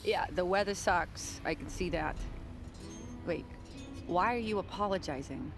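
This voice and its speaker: A woman's voice: fairly low pitch, a little slow, music in the background. A woman playing a world-weary, sarcastic police detective in an audio drama, blunt and informal. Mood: exasperated.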